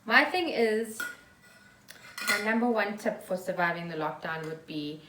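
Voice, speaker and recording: A woman speaks in a mid-range pitch, chatting casually as a podcast co-host. The room is echoey and she sits a little off the mic, with faint noise behind her. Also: unhurried, pushed and bright, distant mic. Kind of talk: casual unscripted chat.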